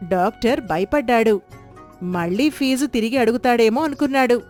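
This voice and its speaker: A woman's voice: on the high side, normal speed, darkish tone, soft background music. Woman narrating a storybook tale.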